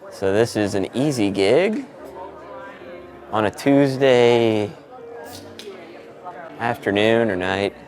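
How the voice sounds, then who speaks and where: A man's voice: lowish voice, expressive, slow pace, pauses often, darkish tone. A laid-back man chatting casually and informally as a sound engineer vlogging his setup and testing microphones. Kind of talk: casual vlog chat with mic checks.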